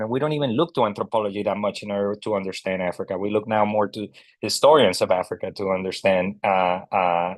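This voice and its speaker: A man's voice: medium pitch, no echo. A man speaking as a literary historian at an online book club, casual and digressive, with many fillers and restarts. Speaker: translator and scholar guest.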